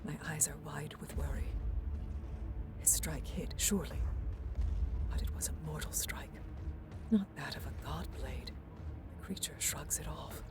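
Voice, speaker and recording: A woman playing a solemn, anxious elf warrior, narrating an audio drama in hushed poetic lines over loud music. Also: low voice, a little slow, pauses often, in a whisper. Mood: hushed worry. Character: female elf warrior recalling ancient war, solemn and anxious. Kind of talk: scripted first-person dramatic narration.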